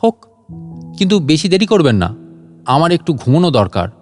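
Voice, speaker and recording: Audio story role man acting: elderly gentleman, unflappable and wry, chatty indignation, higher pitch, faint music behind. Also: very animated, normal speed. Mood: indignant. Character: elderly, unflappable, sarcastic, talkative gentleman scientist.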